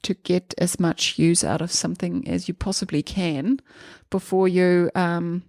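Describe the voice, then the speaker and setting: A woman from Germany with a German accent, a podcast guest talking in a relaxed, thoughtful way with many small pauses. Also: fairly low pitch, creaky, no echo, clean studio sound. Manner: thoughtful, hesitant with many ums. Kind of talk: casual interview chat.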